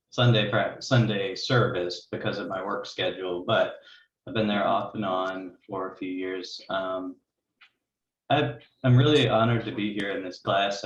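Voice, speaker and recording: A man's voice: low voice, slight background noise. A man, introducing himself hesitantly and earnestly to a class on a video call. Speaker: student. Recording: echoey, muffled room.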